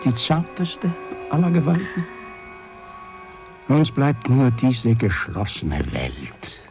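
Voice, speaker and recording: Radio drama: brooding older father yearning to escape, halting, unhurried, widely swinging intonation, drifting into whispers. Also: medium pitch, wildly swooping pitch, full character voice. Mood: longing, resigned. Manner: halting, musing, theatrical, sliding into whispers. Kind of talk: literary radio drama acting. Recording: music behind the voice.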